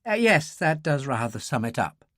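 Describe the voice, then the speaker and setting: A man's voice: medium pitch, big pitch swings, moderate pace, fairly bright tone, dry recording, clean studio sound. A man voicing a genteel English arms dealer in a thriller audiobook, with an English accent and polite, plummy, slightly nervous speech. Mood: hurried, nervous. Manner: clipped, polite, plummy old-chap speech, nervously eager.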